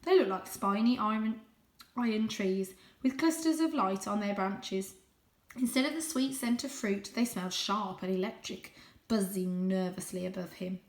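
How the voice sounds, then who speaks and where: A woman reading a children's story aloud in an expressive, suspenseful, animated way. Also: medium pitch, echoey room. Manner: animated, suspenseful, with gasped asides. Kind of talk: dramatic, expressive audiobook-style story narration.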